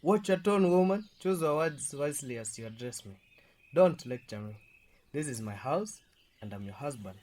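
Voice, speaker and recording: A man's voice: a little high, expressive, moderate pace, darkish tone. A man playing a domineering, defensive husband in a radio drama, scolding and commanding. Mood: angry, defensive.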